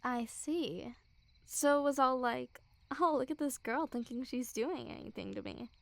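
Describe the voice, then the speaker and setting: A woman's voice: high-pitched, measured pace, vocal fry, fairly bright tone, no room sound, full character voice. A young woman playing a smug, mocking school bully girl who teases with a hint of care, in an intimate ASMR roleplay. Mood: probing, teasing. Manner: mocking, teasing, giggly. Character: teasing, smug school bully girl, secretly a little caring. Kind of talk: ASMR girlfriend roleplay, intimate one-sided dialogue.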